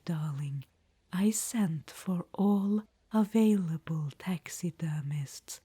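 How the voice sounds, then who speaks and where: A woman's voice: mid-range voice, mellow, husky, no echo. A woman voicing an imperious, fond undead witch-queen in an ASMR roleplay, whispering throughout and calling the listener darling. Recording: dry close-mic whisper.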